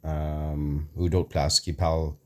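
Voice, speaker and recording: Deep slow english canadian guy doing an app tutorial, lots of ums, throat clearing. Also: level tone. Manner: hesitant, many fillers, mixes in English words. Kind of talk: casual screen walkthrough.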